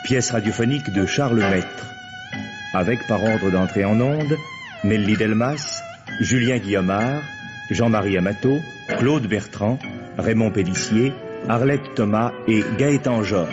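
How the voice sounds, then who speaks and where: A man announcing a radio play, reading the credits in a formal, measured, old-fashioned style. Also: on the low side. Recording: muffled vintage radio recording. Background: loud music.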